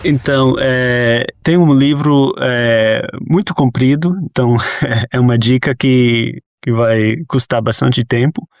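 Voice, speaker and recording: A man's voice: medium pitch, fairly even intonation, takes it slowly, no echo, studio quality, faint music behind. Hesitant man with an American accent. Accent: American English. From Brazil and the United States. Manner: hesitant, many restarts and fillers. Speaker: guest researcher. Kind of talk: casual interview answers.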